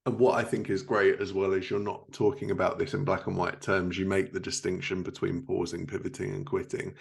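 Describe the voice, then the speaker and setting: A man's voice: deep voice, level tone, brisk, creaky. A man hosting a casual podcast conversation about his work, speaking as a writer. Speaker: podcast host and fiction writer.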